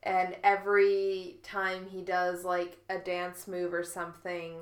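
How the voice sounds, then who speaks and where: A woman's voice: fairly low pitch, completely flat delivery, slow pace, echoey room. A woman co-hosting a podcast with dry, wry humour in casual comedic chat. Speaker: podcast co-host.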